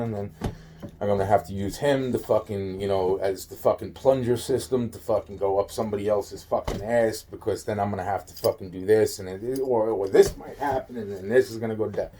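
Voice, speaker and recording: A man giving a rambling, informal monologue to camera, halting and repetitive, often trailing off and circling back, with slangy, profane wording. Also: on the low side, mellow, slight background noise. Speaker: solo video maker.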